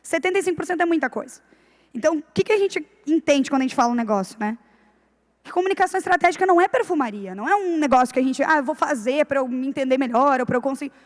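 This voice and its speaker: A woman's voice: high-pitched, lively intonation, very fast. A woman giving a conference talk, explaining step by step and often checking in with "né". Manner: explanatory, frequent "né" tag questions. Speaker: conference speaker. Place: large conference hall. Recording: long hall reverb.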